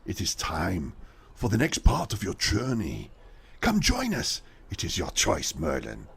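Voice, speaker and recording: A man's voice: low voice, huge pitch swings, a little slow, gravelly, heavily acted. A man playing a ghostly Hessian horseman who reaps souls in a horror audio drama, solemn and commanding, with faint noise behind him. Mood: ominous command.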